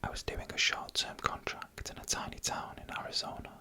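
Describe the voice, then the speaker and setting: A narrator telling eerie stories in a breathy, unvoiced ASMR whisper, close to the microphone, with slow suspenseful phrasing. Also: bright, forceful tone.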